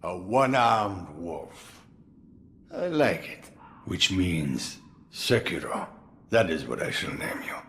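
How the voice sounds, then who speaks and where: An actor playing a gruff old swordsman in a game cutscene, sly and relishing, with sudden loud outbursts. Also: deep voice, very animated, takes it slowly, a little hiss or hum, exaggerated voice. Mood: gruff theatrical. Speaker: mentor recruiting the hero. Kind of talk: video game cutscene acting.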